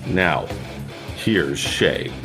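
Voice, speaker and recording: A man's voice: low-pitched, drawn-out words. A man reading a scripted podcast intro as the show's announcer. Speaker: show announcer. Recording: voice over guitar music.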